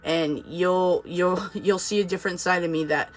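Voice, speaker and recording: A man with a touch of a Boston accent talks through a tarot reading in a loose, chatty way, reassuring his viewers and circling back with fillers. His voice sits a little higher than most men's. A faint hiss of noise runs under the recording. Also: steady tone, normal speed, poor audio. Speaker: tarot reader. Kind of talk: casual tarot reading monologue.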